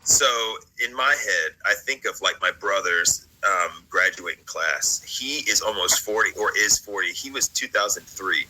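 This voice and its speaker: A man's voice: on the low side, animated, measured pace, few pauses, bright, forceful tone, rough recording. An American man in his late thirties chatting casually in a rambling, jokey, slangy way as he hosts. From United States, partly South Carolina and Virginia. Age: late 30s. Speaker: host of a vlog. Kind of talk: casual reaction chat.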